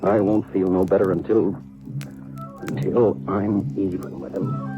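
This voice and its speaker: An actor playing a bitter, wronged older brother, a vengeful cowhand in a radio western, with intense, rustic speech. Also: male voice, low-pitched, flat delivery, measured pace. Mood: bitter, desperate. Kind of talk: 1950s radio western acting. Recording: dull old radio recording, music behind.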